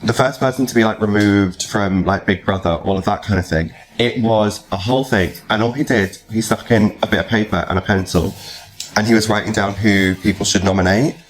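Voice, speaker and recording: Low-voiced man chatting as podcast host. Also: fairly quick. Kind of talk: casual chat interview, animated storytelling.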